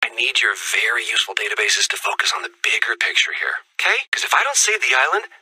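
A man's voice: mid-range voice, sing-song, quick pace, sharp tone, husky, no room sound, exaggerated voice, low-quality recording. A man playing an earnest, exasperated survivor hero in a video game, urgent and a little hesitant.

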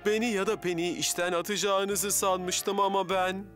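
An actor voicing a bumbling, good-hearted young firefighter in a children's cartoon, hesitant and sheepish. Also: male voice, high voice, little pitch movement, normal speed, a bit bright, faint music behind. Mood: confused, earnest. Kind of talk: animated children's cartoon dub.

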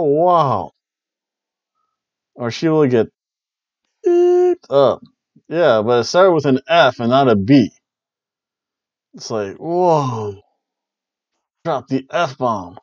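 A middle-aged man rambles through a wrestling recap from his home room, tossing in jokes and playful exclamations. His voice sits at an ordinary middle pitch. The headset audio is dull and lo-fi, with a dry, close sound. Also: wildly swooping pitch, slow, long pauses, darkish tone, no room sound. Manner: chatty, jokey, loose play-by-play with playful exclamations. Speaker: wrestling review host. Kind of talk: casual review chat. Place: small home room with bookshelves, indoors. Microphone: headset mic on a webcam call.

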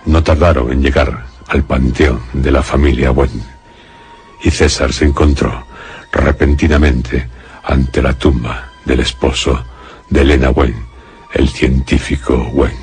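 A man narrating a suspense radio drama in a deliberate, solemn, dramatic way. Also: a bass voice, darkish tone. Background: faint music.

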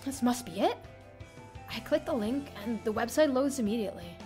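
A young woman streamer reading a visual novel aloud in an expressive, theatrical first-person narration. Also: on the high side, moderate pace. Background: soft game music.

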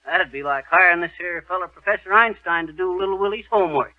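A man's voice: high voice, quick pace, a crowd in the background, lightly acted. A man playing a boastful, folksy American husband full of tall tales in a 1930s radio comedy. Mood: cocky, folksy. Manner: folksy, bragging, alliterative patter. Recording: dull, noisy 1930s radio recording.

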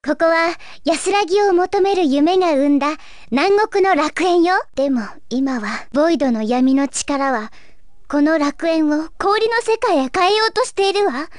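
An actress playing a gentle, earnest young fairy guide of a dream world in a video game, with a wistful, pleading manner. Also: female voice, very high voice, expressive, unhurried, dry recording, studio quality. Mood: concerned. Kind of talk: Japanese video game story acting.

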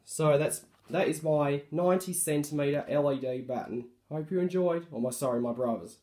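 A man with a hoarse voice from a cold, casually showing off a light fitting he refurbished, talking hesitantly with many ums and restarts, recorded on a handheld phone indoors. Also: on the high side, normal speed, dark tone. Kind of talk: casual show-and-tell narration.

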